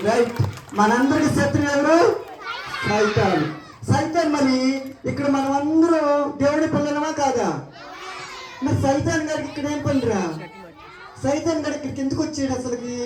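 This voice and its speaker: A woman's voice: high-pitched, moderate pace, long pauses. A woman presenting an interactive gospel puppet show for children, questioning, scolding and prompting the audience. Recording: echoey distant PA, noisy crowd.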